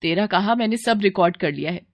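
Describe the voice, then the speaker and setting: A woman's voice: medium pitch, fairly quick, slight background noise, lightly acted. A woman playing a kind, protective lady in a cartoon story, motherly and firm. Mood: stern. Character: kind, protective woman who scolds bullies. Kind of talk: Hindi moral cartoon story acting.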